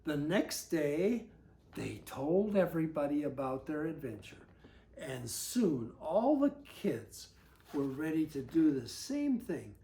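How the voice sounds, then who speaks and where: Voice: medium pitch, sing-song, takes it slowly, dark tone, echoey room. A storyteller reading a picture book aloud to children, breaking off for folksy, conversational asides. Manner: folksy, conversational commentary between read lines.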